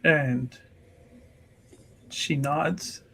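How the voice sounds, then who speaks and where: A man's voice: a little high, fairly even intonation, drawn-out words, gravelly, a bit bright, poor audio. A man playing a tabletop roleplaying game, narrating what his character does. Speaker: player.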